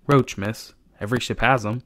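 A man's voice: medium pitch, fairly even intonation, moderate pace, a bit bright, no echo, natural voice. A man voicing a curt, coolly polite ship's officer in an audiobook, clipped and matter-of-fact. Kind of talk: audiobook character voice.

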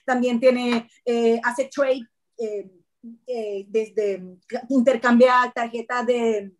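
A middle-aged woman, an actress chatting on a video call as an interview guest, expressive and laughing often. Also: on the high side, extremely slow. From Puerto Rico. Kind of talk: casual interview chat.

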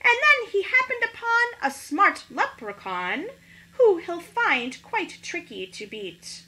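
Woman playing a high, sing-song crafty little leprechaun. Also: very animated, takes it slowly, pushed and bright, exaggerated voice, low-quality recording. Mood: taunting. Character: crafty, teasing little male leprechaun who rhymes.

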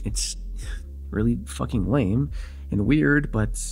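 A young man playing an excitable vampire in an immersive roleplay, casual and halting, insistent and teasing as he repeats himself. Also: mid-range voice, vocal fry, slightly rough, faint music behind, exaggerated voice. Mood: eager, flustered. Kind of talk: immersive ASMR roleplay acting.

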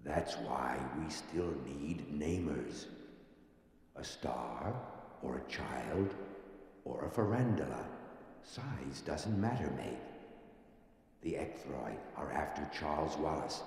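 Man acting as otherworldly mentor creature, solemn, slow gravelly delivery, swooping intonation, long reverb, audio drama. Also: extremely low pitch, lively intonation, darkish tone, exaggerated voice. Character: wise otherworldly male creature mentoring a girl.

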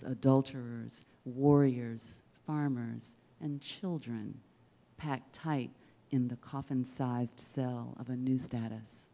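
A middle-aged woman reads poetry aloud into a stage microphone. Her voice is low and deeply mellow, and she takes her time, unhurried and measured. The delivery is dramatic spoken word, with rhetorical weight and deliberate pauses. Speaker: poet reading at an event. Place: indoors on a dark theater stage. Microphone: handheld-style microphone on a stand, close.

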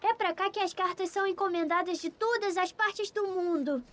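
Tiny, very high girlish letter. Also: moderate pace. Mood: eager. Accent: Brazilian. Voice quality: small, girlish. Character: excitable, nervous young girl letter. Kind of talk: children's cartoon dubbing. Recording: music behind the voice.

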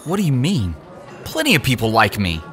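A man's voice: medium pitch, huge pitch swings, brisk, fairly bright tone, soft background music. An actor playing a defensive, entitled young man in an audio drama, dim and whiny as he protests.

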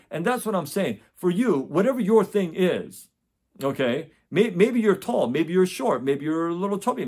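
A man in his forties, from the United States, speaking to camera in a small home office. His voice sits a little higher than most men's, and he talks earnestly, circling back on his words and checking in with "okay?" as he goes. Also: darkish tone. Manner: earnest, repetitive, many restarts and "okay?" checks. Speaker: test prep tutor. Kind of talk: direct-to-camera advice talk. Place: home office with framed certificates.

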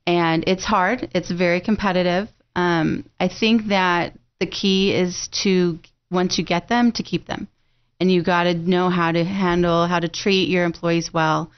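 A woman's voice: fairly low pitch, steady tone, a little slow, clean tone, muffled recording. A woman from San Diego, a restaurant owner, talking upbeat and at length as a guest on a radio show. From San Diego, California. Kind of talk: casual radio interview.

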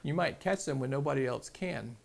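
A man, calmly explaining fishing tips step by step at a table indoors, with plenty of ums. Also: medium pitch, a little fast, gravelly. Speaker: fishing channel host. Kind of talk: casual instructional explanation to camera. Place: small indoor room at a table.

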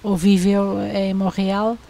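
A woman's voice: medium pitch, little pitch movement, slow, dark tone. A woman interviewer who asks brief, direct questions.

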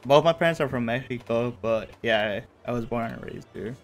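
A man's voice: mid-range voice, fairly quick. A man in his thirties from California, chatting casually while streaming a game, laughing often. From California, USA. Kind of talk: casual gameplay commentary. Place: home room. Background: faint game music.

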